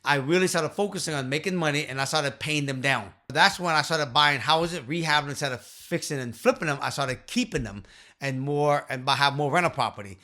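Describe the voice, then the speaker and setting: Man, 50s, earnest vlog host speaking to camera into a studio mic; bright, slightly raised voice. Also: on the high side, brisk. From Vietnam. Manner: earnest, emphatic, talks with his hands. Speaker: real estate investor and channel host. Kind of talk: direct-to-camera storytelling. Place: small home office. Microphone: studio mic on a boom arm.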